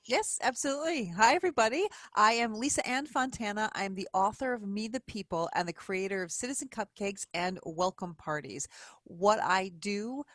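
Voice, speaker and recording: A woman's voice: medium pitch, animated, normal speed, a bit bright, no room sound. Middle-aged woman guest, upbeat explainer, dry close sound. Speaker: guest author. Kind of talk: interview talk.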